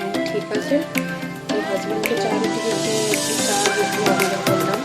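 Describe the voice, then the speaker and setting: A woman's voice: medium pitch, flat delivery, a little fast. A young woman narrating her daily home routine in a casual, chatty, informal way, explaining things as she goes. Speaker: homemaker vlogger and mother. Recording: loud music under the voice.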